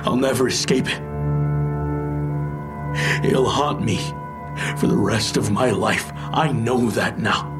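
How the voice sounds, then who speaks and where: An actor plays a weary, haunted man weighed down by guilt and love in an audio drama. He speaks slowly and haltingly at a middling pitch, subdued and confessional. Music is clearly heard behind him. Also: close to an everyday voice. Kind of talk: naturalistic audio drama acting.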